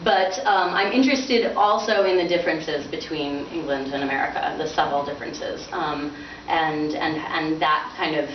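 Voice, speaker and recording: Woman author at a book reading; lower-pitched voice, picked up from a distance with noise. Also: normal speed, highs cut off. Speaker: author reading from own novel. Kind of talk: informal book talk and dramatic prose reading. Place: bookstore reading event. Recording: roomy, distant, noisy bandlimited event recording.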